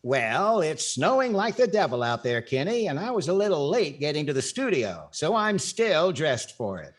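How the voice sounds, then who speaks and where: A man's voice: on the high side, sing-song, normal speed. A man playing a dry, wisecracking star of a 1940s radio comedy show. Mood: dry, genial.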